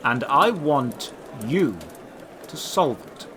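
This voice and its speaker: Guy doing a gruff old mysterious wanderer, fantasy audio drama, slow n deliberate, bit of background noise. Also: on the high side, animated, pushed and bright, exaggerated voice. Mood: solemn, inviting. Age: older. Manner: slow, deliberate, measured delivery. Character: tall old robed man, mysterious, cold yet calming.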